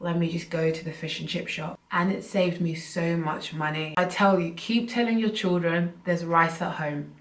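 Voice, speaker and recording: A young woman chats to her viewers from home, a little croaky and short of breath. Her voice sits slightly low and comes from a distance, with the room adding a clear echo. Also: fairly even intonation, moderate pace. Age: young adult. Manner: chatty, earnest, loose run-on phrasing. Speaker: vlogger. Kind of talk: casual talk to camera. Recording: roomy, from a distance.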